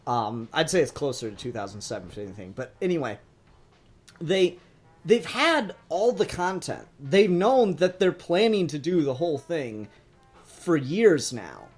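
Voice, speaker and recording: A man's voice: fairly high pitch, big pitch swings, a little slow, breathy edge, soft background music. A man co-hosting a podcast, giving opinionated, emphatic takes with plenty of swearing in a casual fan discussion. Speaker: podcast co-host.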